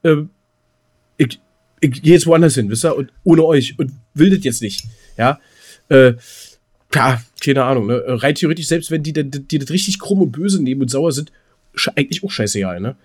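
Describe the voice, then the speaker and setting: A man chatting casually as a podcast co-host in a halting, hesitant, colloquial way. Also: mid-range voice, expressive, darkish tone. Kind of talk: casual chat.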